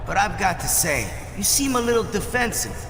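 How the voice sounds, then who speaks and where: Assertive young-sounding wrestler, game cutscene, lively higher voice, echo, background noise. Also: male voice, moderate pace. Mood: defensive. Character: assertive, questioning male wrestler. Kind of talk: video game cutscene dialogue. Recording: echoey room, noisy background.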